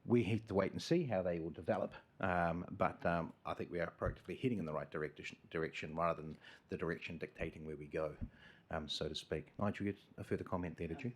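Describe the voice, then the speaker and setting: Nz guy chairing a council meeting, low voice, talks fast, keeps it moving. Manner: measured, chairing and thanking speakers.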